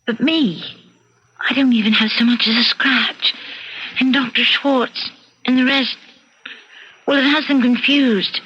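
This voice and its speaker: An actress playing an evasive, discontented wife in an old-time radio mystery, with measured, dutiful answers. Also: female voice, high-pitched, steady tone, fairly bright tone, breathy edge, full character voice. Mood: guarded, puzzled. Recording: noisy, muffled radio drama recording.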